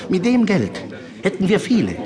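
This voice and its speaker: A man's voice: sits high, huge pitch swings, moderate pace, mellow, in a large hall. A man playing an indignant, self-righteous disciple who keeps the money, pointed and protesting, in a children's audio drama.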